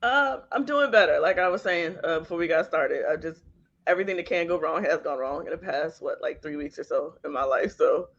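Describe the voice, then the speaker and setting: A woman's voice: low-pitched, lively intonation, brisk, lo-fi sound. A woman in her thirties from Virginia co-hosting a podcast, chatting in a colloquial, wry way. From Virginia, United States. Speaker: podcast co-host. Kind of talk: casual podcast chat.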